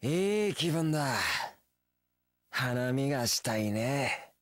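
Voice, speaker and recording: An actor playing a young wandering warrior in an action game, easygoing, romantic and sincere, with casual, rough speech. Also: male voice, medium pitch, expressive, slow pace, no echo, clean studio sound. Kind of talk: Japanese action game voice acting.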